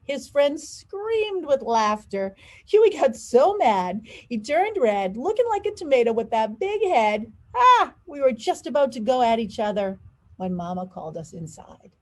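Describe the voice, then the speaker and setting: Higher-pitched woman reading a storybook expressively. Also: sing-song, measured pace, a little mellow. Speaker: school leader reading aloud. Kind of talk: children's storybook read-aloud.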